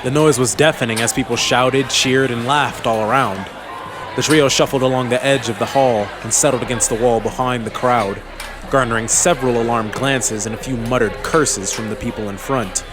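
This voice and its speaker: A man's voice: mid-range voice, steady tone, normal speed, over music. A man reading scripted story narration as the narrator of a fantasy audiobook.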